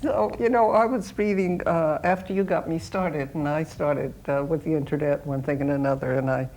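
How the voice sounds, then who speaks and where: A woman hosting a casual discussion in a halting, conversational way. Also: low-pitched, fairly even intonation, a little mellow, rough recording. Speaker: panel host.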